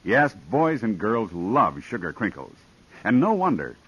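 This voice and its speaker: Old-time radio announcer guy, upbeat sales pitch, husky, dry sound. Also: very animated, a little slow, fairly bright tone, dry recording, muffled recording. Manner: smooth, upbeat sales patter. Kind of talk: 1950s radio announcing and commercial.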